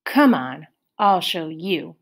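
A woman doing a slow, sneering girl bully. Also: lowish voice, sing-song, slightly rough, exaggerated voice. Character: big, mean teenage girl bully.

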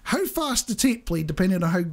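A man's voice: high voice, sing-song, a little fast, gravelly. A middle-aged man hosting a radio show with casual, jokey chat, speaking into a studio microphone. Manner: jokey, chuckles often. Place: small indoor radio studio. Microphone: studio microphone on boom arm.

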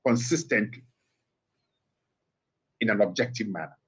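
A middle-aged man with a Ghanaian accent, a guest speaking by phone in a TV interview, measured and emphatic with pointed rhetorical questions. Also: lowish voice, lively intonation, fairly bright tone, husky. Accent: Ghanaian English. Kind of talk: TV interview commentary. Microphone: phone line.

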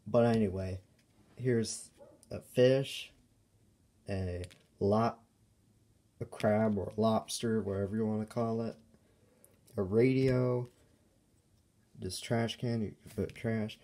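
A man giving a casual, unscripted show-and-tell as a toy reviewer, speaking in halting, broken phrases. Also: fairly low pitch, a little mellow. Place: indoors at a table by a window.

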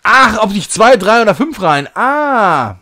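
A man's voice: sits high, big pitch swings, a little slow, pushed and bright. A man giving casual live game commentary as a streamer, thinking aloud in short muttered phrases. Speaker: streamer playing a retro puzzle game.

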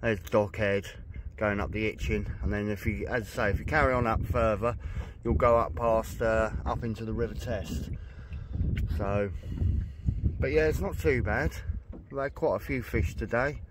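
A man's voice: fairly low pitch, fairly even intonation, moderate pace. Man rambling on a small boat. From England. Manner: rambling, with fillers and self-corrections. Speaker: fishing vlogger. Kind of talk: casual gear review. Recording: outdoor noise on the water.

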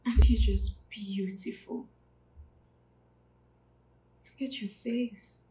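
A Nigerian woman playing a grieving young mother who speaks tenderly and haltingly to her baby son in a dramatic monologue. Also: medium pitch, fairly even intonation, mellow, slight background noise, close to an everyday voice. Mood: tender grief. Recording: echoey room.

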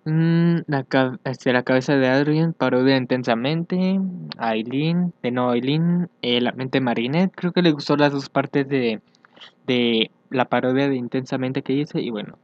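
A young man chatting casually as he reads social media aloud for a vlog, hesitant and thinking out loud. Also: medium pitch, unhurried, no echo. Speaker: fandub YouTuber. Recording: dull, lo-fi home microphone.

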